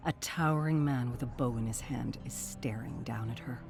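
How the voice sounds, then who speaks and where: Story narrator, mid-range voice, measured and suspenseful scripted read, faint music underneath. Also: medium pitch, gravelly.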